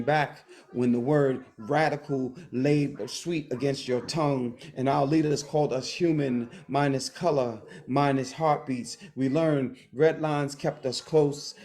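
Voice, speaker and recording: A man in his fifties performing a spoken word poem with a measured, rhythmic delivery, slowing down to stress key phrases. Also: medium pitch, darkish tone. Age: 50s.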